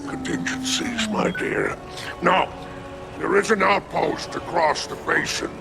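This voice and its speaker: An actor voicing an imperious, menacing alien crime lord with a gravelly voice and florid manner in a video game cutscene. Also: male voice, on the high side, wildly swooping pitch, unhurried, over music, heavily acted. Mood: sly, commanding.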